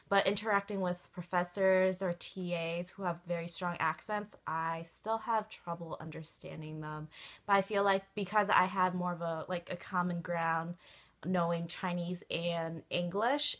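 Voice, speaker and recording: A woman in her twenties, raised in Connecticut, giving thoughtful casual interview answers with fillers. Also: on the low side. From Hong Kong, raised in Connecticut. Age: 20s. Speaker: student interviewee.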